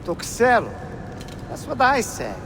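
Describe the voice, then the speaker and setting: A griping guard in a game cutscene. His voice is strikingly high for a man and jumps around irritably, with clear ambient noise behind it. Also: huge pitch swings, a little slow, exaggerated voice. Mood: exasperated. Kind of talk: video game cutscene acting.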